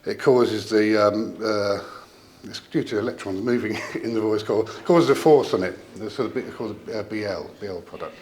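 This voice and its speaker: Low-voiced man explaining gear, noisy room. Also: moderate pace, darkish tone. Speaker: audio company representative presenting a product. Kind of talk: informal technical product explanation.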